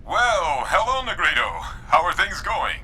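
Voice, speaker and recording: Polite game statesman; sharp bright tone, very lively intonation, slight rumble behind. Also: unhurried, heavily acted, low-quality recording. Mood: genial, polite. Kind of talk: dramatic game cutscene dialogue.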